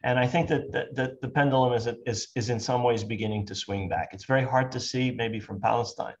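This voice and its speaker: An older man moderating a webinar discussion, speaking in a measured way with frequent ums. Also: medium pitch, highs cut off, slight background noise.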